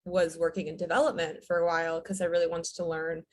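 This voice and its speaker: A woman in her thirties, a writer-director guest, chatting in a relaxed way with lots of "um"s. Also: fairly low pitch, fast. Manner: relaxed, fillers, light laughs. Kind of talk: casual interview chat.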